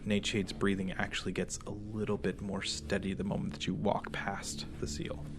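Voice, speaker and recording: A man acting as game master, narrating a tabletop game casually and haltingly, with informal pauses. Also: low voice, completely flat delivery, noisy background.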